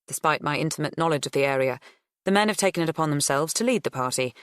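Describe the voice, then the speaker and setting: A woman's voice: low voice, animated, fast, dry recording, studio quality. A woman narrating first-person fiction as a scripted audiobook reading.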